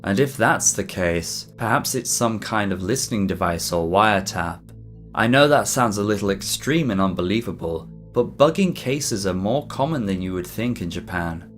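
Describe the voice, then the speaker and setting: A man reading a scripted mystery documentary narration for an online video in a measured, explanatory way. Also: lowish voice, expressive. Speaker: YouTube narrator. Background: background music.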